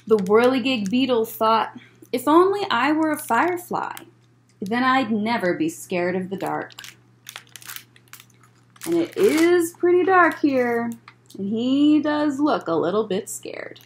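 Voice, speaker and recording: A woman in her thirties reading a picture book aloud as a children's librarian, in measured phrases with chatty asides. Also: a little high, very animated, slight background noise. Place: library room, indoors.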